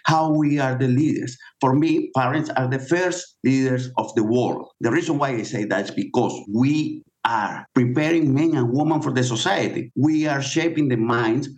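A man's voice: medium pitch, normal speed, hardly any pauses, a little mellow. A middle-aged man who is not a native English speaker, answering interview questions as a guest author in an earnest, explanatory way.

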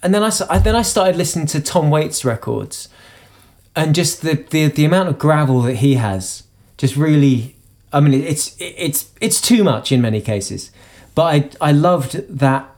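British man, high-pitched and halting, restarts a lot, interview guest. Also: expressive, a little mellow. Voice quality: self-described high voice. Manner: halting, many restarts and repeated words. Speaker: singer-songwriter guest. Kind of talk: conversational interview.

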